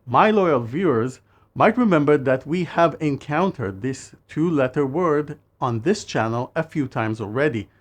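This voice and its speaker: A man who speaks natively, narrating a scripted language lesson in a measured way and slowing down for phrases. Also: medium pitch. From Israel. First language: Hebrew. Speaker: language teacher.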